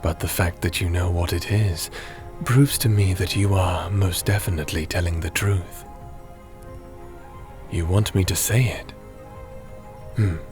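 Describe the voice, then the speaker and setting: A calm, ancient male figure played by a man speaks low and slowly. He is patient and grave, choosing formal words with deliberate care, over faint music in an audio drama. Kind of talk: audio roleplay voice acting.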